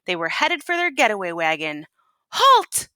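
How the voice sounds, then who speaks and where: A woman teacher reading a storybook aloud to children and pausing to ask them questions. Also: mid-range voice, expressive, pushed and bright, dry recording, clean studio sound.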